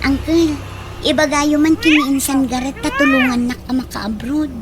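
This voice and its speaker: A woman as a high-pitched pleading young daughter. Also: moderate pace, over loud music, lightly acted. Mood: pleading, determined. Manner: pleading, insistent. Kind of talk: radio drama acting. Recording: loud background noise.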